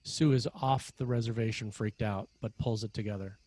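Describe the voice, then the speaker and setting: Low-pitched man narrating a screenplay. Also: steady tone, moderate pace, a little mellow, no echo. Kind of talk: scripted screenplay narration, present tense.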